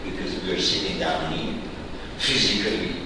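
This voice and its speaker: A man teaching a reflective philosophy class, musing slowly with frequent pauses. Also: deep voice, background noise. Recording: echoey hall, mic far off.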